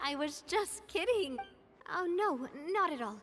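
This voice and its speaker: A woman's voice: very high-pitched, lively intonation, measured pace, a bit bright, exaggerated voice. A woman voicing a cheerful, confident young female leader in a video game, with a playful, teasing, breezy manner.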